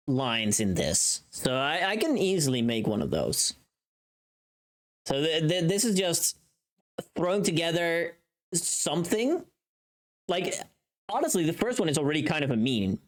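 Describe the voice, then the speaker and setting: Animated, unhurried livestream host. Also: mid-range voice. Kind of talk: casual livestream chat.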